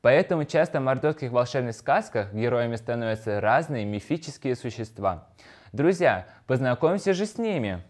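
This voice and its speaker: Medium-pitched man presenting a lesson. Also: lively intonation, moderate pace, a little mellow. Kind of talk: scripted educational narration.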